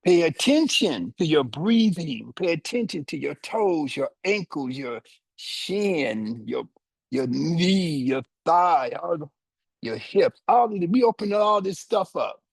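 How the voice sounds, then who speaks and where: A man in his seventies teaching yoga, guiding students through their body and explaining the practice in a slow, deliberate, explanatory way with short pauses. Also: fairly high pitch, big pitch swings, creaky, slightly rough, dry recording. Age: 70s. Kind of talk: guided yoga instruction with teaching asides.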